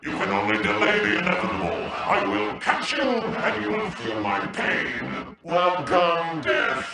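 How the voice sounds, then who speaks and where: A sadistic, infected video game villain. His gravelly voice booms in heavy echo and swings wildly in pitch. He draws out his taunts with theatrical menace, sounding like a processed, inhuman program. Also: very animated, a little slow, far from the mic, full character voice. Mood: sadistic taunting.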